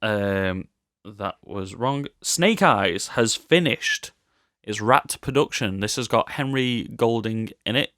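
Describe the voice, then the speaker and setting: Low-voiced British man, casual podcast co-host. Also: animated, takes it slowly, a bit bright, no echo, clean studio sound. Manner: joking, playful asides. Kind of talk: casual film news chat.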